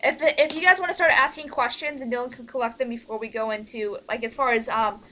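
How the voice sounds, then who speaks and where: A woman leading an online meeting as producer, talking casually in a halting, rambling, informal way. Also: on the high side, fairly even intonation, sharp tone. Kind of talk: casual group meeting talk. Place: online group voice call.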